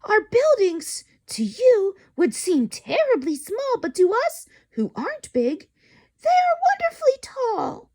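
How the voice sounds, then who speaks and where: A woman's voice: extremely high pitch, wildly swooping pitch, unhurried, a little mellow, pitched above the performer's own voice, heavily acted. A woman voicing a tiny, earnest, grateful town mayor with a thin, piping voice in a children's storybook. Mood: proud, thankful. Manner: earnest, polite.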